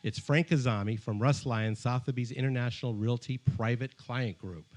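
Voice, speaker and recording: A man's voice: medium pitch, normal speed. A man hosting a podcast interview, upbeat and chatty, speaking into a studio microphone. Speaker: podcast host. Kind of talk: casual interview chat. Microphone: studio microphone with headphones.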